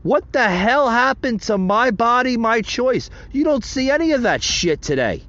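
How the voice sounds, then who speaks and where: A man's voice: extremely high pitch, big pitch swings, unhurried, a bit bright, dull audio. A man hosting a podcast, giving impassioned solo political commentary and often calling out to his listeners as folks. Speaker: podcast host.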